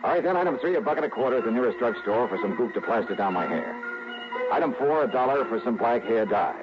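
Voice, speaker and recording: An actor playing a shrewd, wry private investigator in a 1950s radio drama, with clipped, matter-of-fact delivery. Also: male voice, mid-range voice, expressive, fast talker, natural voice. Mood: wry, intent. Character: shrewd male investigator narrating his case, posing as bumbling waiter. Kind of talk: 1950s radio detective narration. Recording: muffled old radio recording, loud music.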